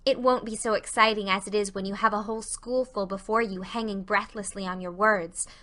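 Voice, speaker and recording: Woman acting Anne, bright gushing schoolgirl. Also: mid-range voice, steady tone, brisk, natural voice. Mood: meditative. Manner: gushing, dramatic. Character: Anne, earnest, romantic, effusive schoolgirl. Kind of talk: dramatized audiobook acting.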